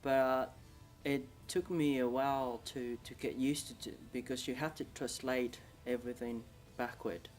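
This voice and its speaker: Brief: man, Vietnamese accent, halting non-native English, soft music under. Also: mid-range voice, fairly even intonation. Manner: halting, many restarts, second-language English. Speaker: interviewee. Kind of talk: casual recollection.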